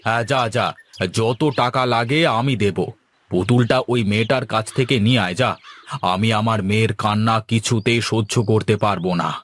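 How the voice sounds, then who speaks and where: A man's voice: on the low side, steady tone, normal speed, a bit bright, close to an everyday voice. A man voicing a worried, doting village master and father in a cartoon, dramatic and fretful. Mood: urgent. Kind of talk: cartoon voice acting.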